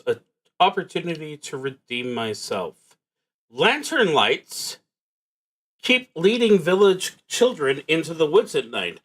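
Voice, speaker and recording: A man's voice: a little high, a bit bright, low-quality recording. A man live-streaming a solo tabletop roleplaying game, reading prompts and thinking aloud as he plays.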